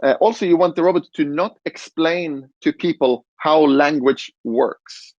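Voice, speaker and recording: A man on a conference panel explaining design, relaxed, with fillers and restarts. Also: on the high side, a little slow, no echo, muffled recording. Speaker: robot interaction designer.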